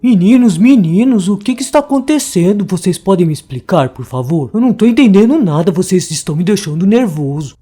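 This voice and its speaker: A woman's voice: medium pitch, lively intonation, fairly quick, few pauses, warm tone, slightly rough, soft background music. A woman with a Brazilian accent voicing a fretful, kindly male inventor in a children's cartoon. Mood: flustered.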